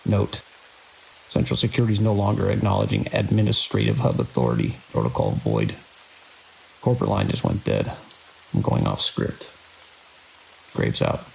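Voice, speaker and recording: An actor playing a grim, embittered security chief in an audio drama, speaking in terse, grave and urgent lines. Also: male voice, fairly low pitch, normal speed, gravelly, long pauses, a little mellow, rough recording. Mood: grim, bitter. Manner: terse, grave official log-keeping and urgent radio talk. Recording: faint hiss with rain-like noise.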